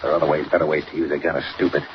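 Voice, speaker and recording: A very low-voiced villainous factory owner in a 1940s radio serial. He gives curt, impatient orders with sly menace. The old recording is dull and noisy. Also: level tone, rapid-fire. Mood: scheming.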